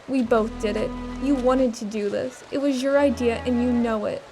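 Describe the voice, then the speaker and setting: A woman's voice: a little high, fairly even intonation, normal speed, music in the background, natural voice. A woman playing a remorseful, earnest young female accomplice in an audio drama. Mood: guilty, insistent.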